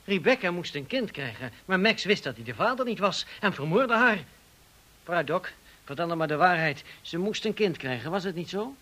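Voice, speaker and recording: An actor playing a brash, insinuating blackmailer in a radio play, theatrical, pushy and taunting. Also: male voice, high-pitched, sing-song, normal speed, sharp tone, exaggerated voice. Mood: accusing. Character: brash, insinuating male blackmailer, goading and excitable. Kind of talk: Dutch radio drama acting.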